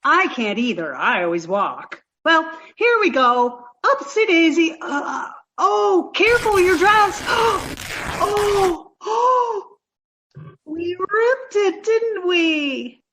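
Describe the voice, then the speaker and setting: A woman's voice: very high-pitched, expressive, slow, fairly bright tone, pitched above the performer's own voice, heavily acted, poor audio. A woman playing a dim-witted, sweet, scatterbrained young woman in a comic old-time radio play, gushing and literal-minded. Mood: earnest, fussing. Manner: naive, scatterbrained, gushing, takes everything literally.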